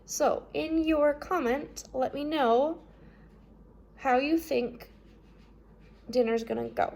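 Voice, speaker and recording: High-voiced woman reading a storybook aloud. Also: takes it slowly, gravelly, a little mellow. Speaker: read-aloud storybook narrator. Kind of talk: reading a children's novel aloud.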